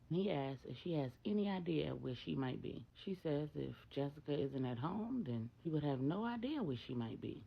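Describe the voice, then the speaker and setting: A woman's voice: low voice, gravelly, slightly rough, dull audio. A woman storyteller narrating a dramatic tale in the present tense.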